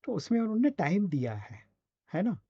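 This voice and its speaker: A man's voice: sits high, big pitch swings, moderate pace, breathy edge, dry recording, highs cut off. A man with an Indian accent coaching in a conversational, explanatory way, often checking in with short questions. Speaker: voice and radio scriptwriting coach. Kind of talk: conversational online teaching.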